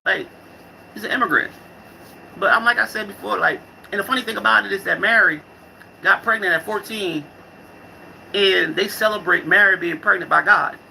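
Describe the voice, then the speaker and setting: Casting: male vlogger, very bright forceful voice with gravelly fry, loose profane rambling, slangy and irreverent. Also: sits high, normal speed, pauses often, slight background noise, poor audio. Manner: repeats and restarts phrases often. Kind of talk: rambling casual monologue with comic riffs.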